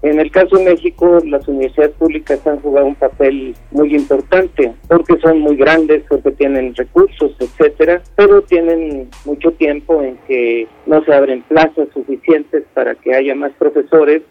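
A man, a university professor, giving measured, explanatory interview answers. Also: a little high, dry recording. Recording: dull lo-fi dry sound, faint music.

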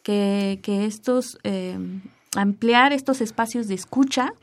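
A woman's voice: medium pitch, slow pace. A woman speaking as a radio guest, hesitant and searching for her words. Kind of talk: radio interview.

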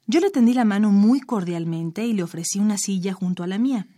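A woman reading her own short story aloud in a measured, expressive storytelling manner. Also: mid-range voice, no echo, studio quality.

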